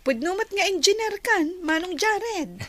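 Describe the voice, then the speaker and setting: A woman's voice: very high-pitched, expressive, unhurried, bright, forceful tone, no echo, full character voice. A woman with an Ilocano Filipino accent playing a friendly, curious young nurse in a radio serial, chatty and teasing. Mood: cheerful, teasing. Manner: chatty, inquisitive. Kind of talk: radio serial drama acting.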